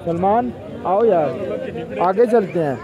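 A young man talks into a phone held at arm's length as he strolls a night market. His voice sits a little high and chatty. A thick crowd hum fills the street behind him. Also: lively intonation, slow pace, phone-quality sound. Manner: chatty, calls out to his friend while walking. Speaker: vlogger. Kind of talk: casual walking vlog. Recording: busy crowd noise behind. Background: crowd chatter and traffic.

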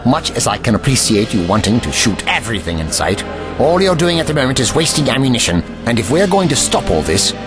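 Male actor as haughty old gentleman, fussy, cantankerous, hugely animated intonation, audio drama, music underneath. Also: mid-range voice, a little fast, lightly acted. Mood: imperious. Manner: haughty, fussy, tutting with "hmm" and "eh". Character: pompous, cantankerous old gentleman time traveller.

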